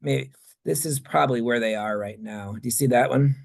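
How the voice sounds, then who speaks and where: A man with plenty of vocal fry and a mid-pitched voice takes questions as a presenter. He works out his answers aloud in a halting, conversational way. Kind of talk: conference talk question-and-answer session.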